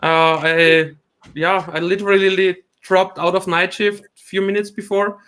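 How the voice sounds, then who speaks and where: Austrian guy with a high voice, laughs a lot, halting english on a video call. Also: level tone, a bit bright, clear, resonant tone. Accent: Austrian German. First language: German. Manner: laughs often, halting phrasing. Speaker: guest. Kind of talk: casual chat. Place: home at night.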